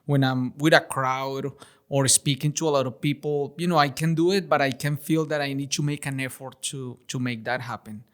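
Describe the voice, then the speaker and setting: A man hosting a podcast in a casual interview, friendly and encouraging with quick short replies. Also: medium pitch, steady tone. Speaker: podcast host.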